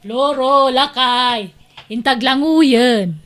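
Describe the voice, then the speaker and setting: Woman acting a high-voiced bossy Ilocano aunt. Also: extremely slow, fairly bright tone, exaggerated voice. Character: breezy, bossy, thrifty middle-aged aunt. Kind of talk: Ilocano audio drama acting.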